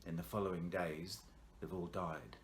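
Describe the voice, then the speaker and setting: A man voicing a secretive, ominous woman from a theatre box office in a told horror story, grave and deliberate in her warnings. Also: low voice, fairly even intonation, slight background noise, close to an everyday voice.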